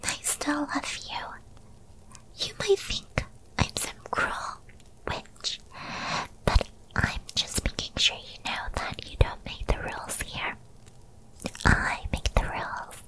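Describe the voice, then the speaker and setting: A woman voicing a possessive, lovestruck young witch girlfriend in an ASMR roleplay, in a breathy, cooing and controlling manner. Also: takes it slowly, pauses often, natural voice, poor audio. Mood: stern, possessive.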